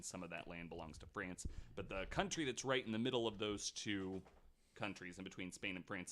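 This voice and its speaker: Low-voiced man hosting a casual podcast. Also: bright, forceful tone. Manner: loose and chatty, sprinkles in likes and mild swearing. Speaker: podcast host. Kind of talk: casual storytelling chat.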